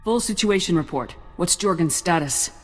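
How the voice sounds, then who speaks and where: An actor playing a confident special forces captain in a video game, giving firm, clipped commands. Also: male voice, sits high, lively intonation, moderate pace, fairly bright tone, soft background music. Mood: commanding. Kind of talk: video game cutscene acting.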